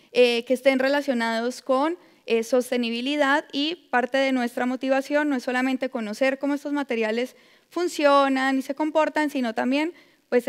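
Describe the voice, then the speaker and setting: A young adult woman from Colombia with a Colombian Spanish accent, giving a measured conference talk as a predoctoral researcher, with frequent filler sounds. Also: high-pitched, clear, resonant tone. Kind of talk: conference presentation. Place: large lecture hall. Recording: long hall reverb.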